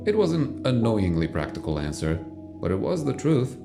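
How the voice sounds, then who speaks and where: A man's voice: medium pitch, big pitch swings, normal speed, gravelly. A man narrating a scripted science fiction audio drama as its storyteller. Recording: music bed under the voice.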